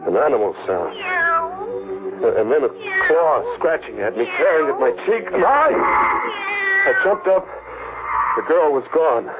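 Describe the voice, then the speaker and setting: A man's voice: a little high, wildly swooping pitch, unhurried, long pauses. An actor playing a desperate, exhausted man on the run in a 1940s radio thriller, narrating in clipped hard-boiled fragments and stammering. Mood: weary, tense. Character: desperate, exhausted hunted man telling his story. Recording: dull, very noisy vintage radio recording.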